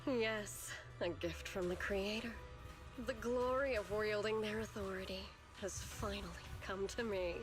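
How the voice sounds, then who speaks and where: Woman acting as cold zealot villainess, video game, haughty, solemn, measured delivery, mid pitch, prominent music behind. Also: steady tone, close to an everyday voice. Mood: reverent, persuasive. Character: cold, devout woman villain serving a Creator. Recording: game audio with clear music.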